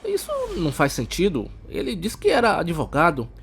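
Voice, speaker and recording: Man playing an easygoing, animated young brother. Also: on the high side, moderate pace, creaky, slight background noise, pitched above the performer's own voice, heavily acted. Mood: disbelieving. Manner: casual, animated. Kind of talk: audiobook character acting.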